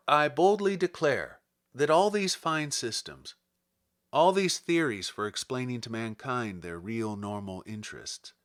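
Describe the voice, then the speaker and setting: A man reads a literary first-person monologue as an audiobook narrator. His voice sits at a middle pitch. He phrases things deliberately and rhetorically, leaning on key words with formal diction. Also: pushed and bright. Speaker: audiobook reader.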